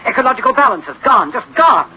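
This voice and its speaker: Anxious engineer, swooping dramatic pitch. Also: male voice, very animated, quick pace, sharp tone, husky, heavily acted. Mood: urgent. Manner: grave, emphatic, theatrical delivery. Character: anxious, grave male engineer, dreading disaster. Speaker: actor in a radio drama. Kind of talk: dramatic sci-fi radio acting. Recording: dull, faintly noisy old radio recording.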